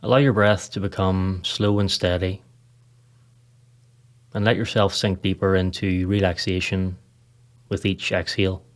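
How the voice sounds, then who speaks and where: A man leading a scripted guided meditation in a calm, measured manner, leaving pauses between short phrases. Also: deep voice. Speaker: podcast host, meditation guide.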